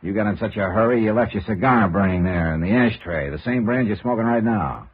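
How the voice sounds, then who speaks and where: A man's voice: lowish voice, a little fast, slightly rough. An American man playing a tough, shrewd insurance investigator in a 1950s radio noir drama, clipped and sardonic. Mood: sardonic, pressing. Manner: clipped, sardonic, hard-boiled. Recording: muffled, noisy 1950s radio recording.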